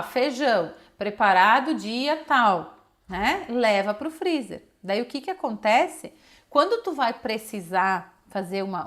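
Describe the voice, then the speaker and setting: A woman with a southern Brazilian accent talks viewers through practical advice. Her voice sits on the higher side. She is informal and conversational, often tagging her sentences with small checks for understanding. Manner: conversational, frequent checking tags and diminutives. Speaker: children's nutritionist giving advice. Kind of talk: casual explanatory talk to viewers.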